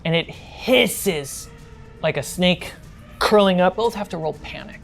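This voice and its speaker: A woman's voice: fairly low pitch, expressive, measured pace, gravelly. A woman running a tabletop role-playing game as game master, mixing scene narration with casual, playful table talk. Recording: music behind the voice. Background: music.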